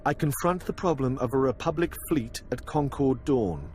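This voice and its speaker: Man as young genetically engineered analyst, mid pitch, very varied intonation, formal, clinical, game acting. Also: lively intonation, normal speed, a little mellow, close to an everyday voice. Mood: detached. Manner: formal, detached. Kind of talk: video game cutscene acting.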